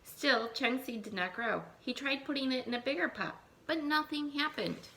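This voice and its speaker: A woman teacher reading a picture book aloud to young children, expressive, thinking aloud and putting questions to her listeners. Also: high voice, normal speed, slight background noise, rough recording. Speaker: elementary school teacher.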